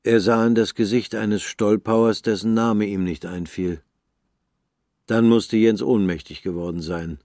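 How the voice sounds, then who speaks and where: A man with a fairly low voice reads the narration of a radio play. His delivery is measured and sober, in a scripted literary style. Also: fairly even intonation, darkish tone, dry recording, studio quality.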